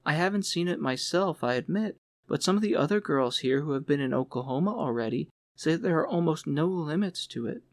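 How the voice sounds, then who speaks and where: A man's voice: on the high side, expressive, a little fast, no room sound. A man voicing an eager, friendly young woman in an audiobook, with a chatty, enthusiastic manner. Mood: excited, earnest. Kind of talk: audiobook character voice.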